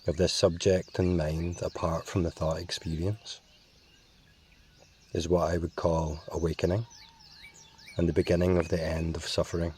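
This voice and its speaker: A man guiding a meditation in a calm, measured way with long pauses. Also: deep voice, slight background noise. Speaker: meditation guide. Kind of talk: slow guided meditation.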